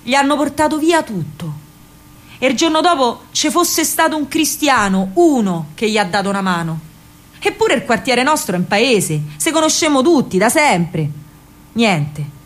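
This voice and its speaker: An actress playing a spirited, indignant working-class girl with a Roman accent, telling her memories in an expressive, colloquial theatrical monologue. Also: fairly high pitch, normal speed. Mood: bitter, dismayed. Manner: colloquial, emphatic, expressive storytelling. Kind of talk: theatrical first-person monologue in dialect.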